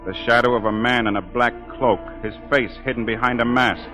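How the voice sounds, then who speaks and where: A man playing an opera singer who recounts horrors in grave, measured, theatrical 1940s radio drama style. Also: mid-range voice, fairly even intonation, close to an everyday voice. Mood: ominous, grave. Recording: vintage 1940s radio broadcast, music underneath.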